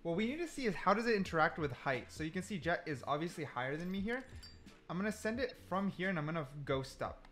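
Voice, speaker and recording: A man's voice: high-pitched, very animated, quick pace, fairly bright tone. A man giving casual gameplay commentary, explaining things and repeating points for emphasis. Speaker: gaming video creator.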